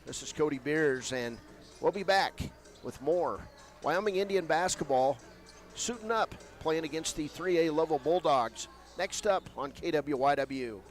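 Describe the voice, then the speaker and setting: High-voiced upbeat male radio sportscaster. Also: unhurried, soft background music. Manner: upbeat, chatty interviewer. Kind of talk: radio pregame show hosting. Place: courtside in a gym.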